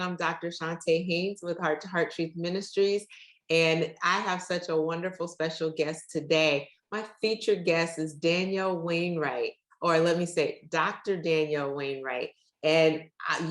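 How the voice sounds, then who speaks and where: A woman's voice: on the low side, fairly even intonation, measured pace. A woman hosting an upbeat faith talk show, speaking effusively and addressing her audience directly. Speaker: interview show host. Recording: roomy, echoey sound.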